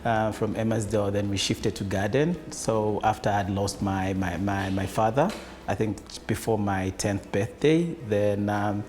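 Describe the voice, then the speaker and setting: A man's voice: fairly low pitch, steady tone, unhurried, a little mellow, slight background noise. A man from Zambia, a business founder, answering interview questions in a hesitant way with many fillers. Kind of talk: conversational interview.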